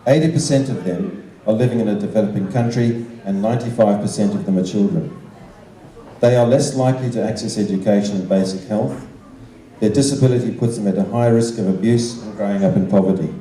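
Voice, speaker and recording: An older man giving a measured, formal prepared speech to an evening gathering. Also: lowish voice, mellow. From Zimbabwe. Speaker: guest speaker, art teacher and children's author. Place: large indoor function room. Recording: roomy, echoing hall sound, distant microphone.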